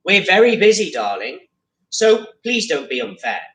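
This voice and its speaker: A man voicing a busy, distracted parent in a children's story, his voice dropping low on the pet names. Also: sing-song, normal speed, fairly bright tone, far from the mic, a little hiss or hum. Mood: busy, soothing. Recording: roomy, from away from the mic.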